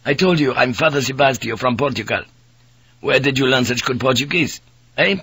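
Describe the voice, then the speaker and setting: A man voicing a hostile, hot-tempered priest in his twenties from Portugal, impatient and sharp as he interrogates, in an audiobook. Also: medium pitch, normal speed, husky, no echo. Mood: flaring, indignant.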